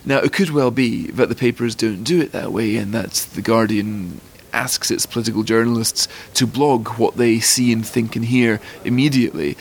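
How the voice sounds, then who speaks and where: A man with a posh Scottish accent, a magazine editor giving measured, articulate interview answers. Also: medium pitch, creaky. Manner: articulate, fluent, considered.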